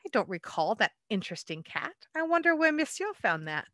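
A woman's voice: medium pitch, big pitch swings, brisk, fairly bright tone, no room sound. A woman voicing a curious, eager young woman asking about things in a shop.

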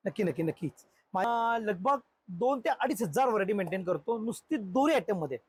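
A man's voice: sits high, animated, a little fast, slightly rough, no room sound. A male shopkeeper, eagerly listing products in an informal sales explanation inside a shop.

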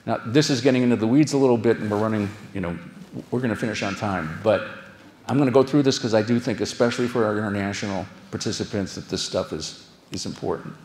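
An older American man delivers a keynote talk in a fairly low voice. He explains things conversationally and often steps aside from his main point. The sound has the pronounced echo of a large auditorium. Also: fast, a little mellow. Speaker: keynote speaker, television showrunner. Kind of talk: conference lecture to an audience. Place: large auditorium stage, indoors.

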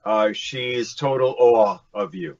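Unhurried Cuban American man, halting co-host with fillers; dull lo-fi stream sound. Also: mid-range voice, fairly even intonation, gravelly, a little mellow. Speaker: podcast co-host. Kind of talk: casual chat.

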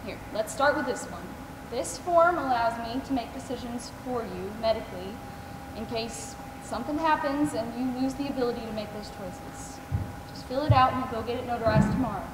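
A woman playing a gentle, devoted caregiver in a stage play, speaking earnestly. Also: on the high side, a little fast, natural voice. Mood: caring, practical. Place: theater stage, indoors. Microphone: picked up from far off. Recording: echoey theater, distant, noisy.

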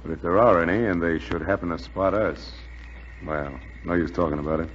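A steady, weary commander of a stranded aircrew, speaking fairly low and clipped. It is old radio drama, muffled and very reverberant. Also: male voice, fairly quick, slightly rough. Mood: brisk, grave. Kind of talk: 1940s radio drama acting. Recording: muffled, noisy, reverberant 1940s radio recording.

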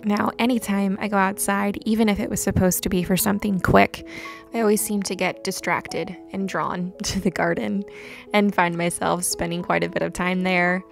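Young female narrator, calm and gentle, slight fry, light music bed. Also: mid-range voice. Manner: gentle, unhurried, with soft laughs. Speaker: homesteading vlogger. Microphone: voice-over recorded separately.

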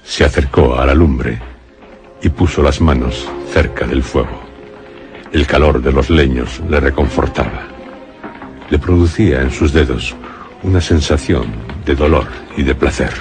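A man's voice: rumbling low, unhurried, music in the background. A man narrating a gothic suspense radio drama in a grave, scripted style. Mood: grave, ominous. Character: grave male narrator of a gothic horror tale.

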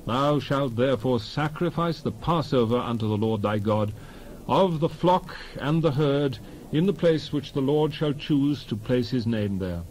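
A man giving a solemn, declamatory dramatized reading of scripture in measured phrases. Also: medium pitch, expressive, darkish tone, slight background noise, low-quality recording. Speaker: Bible reader.

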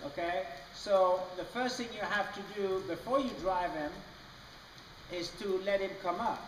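A man's voice: high-pitched, a little slow, far from the mic. A man coaching a rider in a large indoor riding arena, patient and explanatory, often checking in with "okay?". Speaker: riding clinician. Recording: roomy echoey arena from afar with noise.

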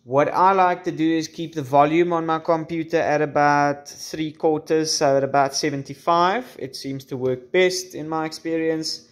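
A man in his twenties gives an informal how-to in a slightly high voice. He is offhand and wry, dropping in dry asides. He speaks into a camera's built-in microphone in a room at home. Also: fairly even intonation, normal speed, few pauses. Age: 20s. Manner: informal, wry joking asides and mild swearing. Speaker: tutorial presenter. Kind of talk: casual how-to demonstration. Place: small room at home, indoors. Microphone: camera's built-in microphone at varying distance.

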